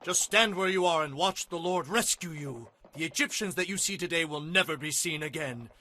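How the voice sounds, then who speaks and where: A high, bright-voiced prophet acted out by a man exhorts his people. He is emphatic and firmly reassuring, in the manner of a dramatized scripture story. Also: normal speed, slight background noise. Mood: urgent reassurance. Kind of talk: dramatized Bible reading.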